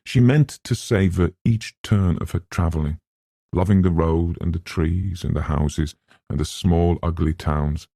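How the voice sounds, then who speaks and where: A man narrating a literary novel as an audiobook in measured, scripted storytelling. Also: low-pitched, vocal fry, mellow, slightly rough, no echo, clean studio sound.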